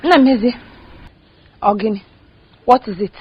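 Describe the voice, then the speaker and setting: An actress playing a bitter jilted young woman, shouting and sobbing. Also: a little high, a little slow, muffled recording, a little hiss or hum, natural voice. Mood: scornful. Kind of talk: dramatic acting.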